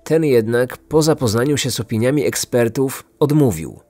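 A man narrating a scripted true-crime podcast in a serious, measured storytelling manner. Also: medium pitch, animated, warm tone, soft background music.

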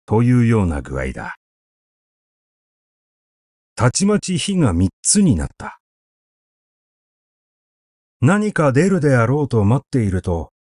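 A man reading a classic literary essay aloud as narrator, with measured, expressive storytelling delivery. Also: mid-range voice, dry recording, clean studio sound. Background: background music.

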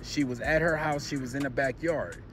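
American guy chatting gossip, mid pitch voice, opinionated, music going in the back. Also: fairly even intonation, normal speed. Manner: conversational, opinionated. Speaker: gossip video commentator. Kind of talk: casual gossip commentary.

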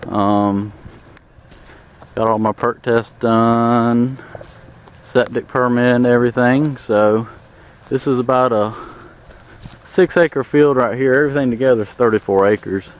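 A man giving a relaxed, plain-spoken tour of his land, walking and then driving. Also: medium pitch, measured pace, pauses often. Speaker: landowner showing his farm. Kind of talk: casual walk-and-drive tour narration. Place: outdoors in fields, then inside a moving pickup truck. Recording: clear background noise of truck engine and outdoors.

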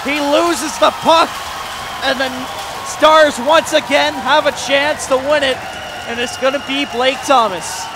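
A man calling play-by-play for a hockey shootout, rising to shouted goal calls while his voice is going. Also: very high voice, fairly even intonation, a little slow, over music, poor audio. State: losing his voice. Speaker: play-by-play commentator. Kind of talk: excited sports commentary. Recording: crowd and arena noise behind.

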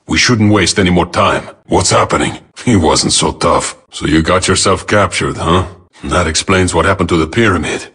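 Deep-voiced, aloof robot spy. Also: male voice, normal speed, fairly bright tone, slightly rough. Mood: cool, dry. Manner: clipped, aloof, dry. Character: cool, proud, self-assured robot spy. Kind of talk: video game voice acting.

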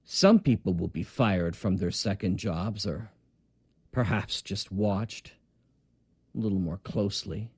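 An actor playing a calm, measured, quietly official older man in an audio drama. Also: deep voice, breathy edge, no echo, studio quality, exaggerated voice. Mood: calm, reassuring.

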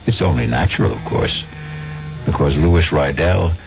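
A man narrating a 1970s radio drama as a knowing, confiding storyteller in a measured, theatrical way. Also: extremely low pitch, creaky, noisy background. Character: knowing storyteller introducing a courtroom tale. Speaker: narrator and host of a radio mystery series. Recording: dull lo-fi 1970s radio broadcast.

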